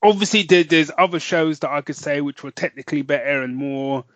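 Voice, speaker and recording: Guy gushing on a podcast, kinda high voice, dry dull sound. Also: a little fast, fairly bright tone, no room sound. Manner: enthusiastic, rambling, says "man" a lot. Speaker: podcast co-host. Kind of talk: casual chat.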